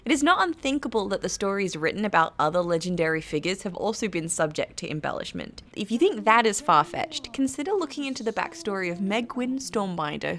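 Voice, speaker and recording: A woman with a British accent reads a scripted video game review in an articulate, measured, critical manner. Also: medium pitch, fairly bright tone. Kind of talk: scripted narration. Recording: faint background noise.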